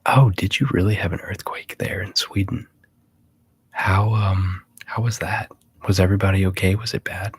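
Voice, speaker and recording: A man hosting a casual ASMR livestream, chatting in a breathy whisper and rambling with hesitant pauses. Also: low-pitched, steady tone. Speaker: livestream host.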